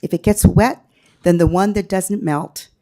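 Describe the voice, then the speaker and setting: A woman's voice: medium pitch, unhurried, warm tone. Woman reading a poem aloud with passion. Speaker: resident public commenter.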